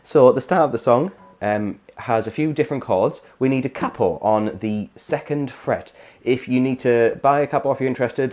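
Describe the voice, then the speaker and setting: A man, hoarse from a cold, explaining guitar chords step by step in a casual tutorial. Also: mid-range voice. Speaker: guitar teacher.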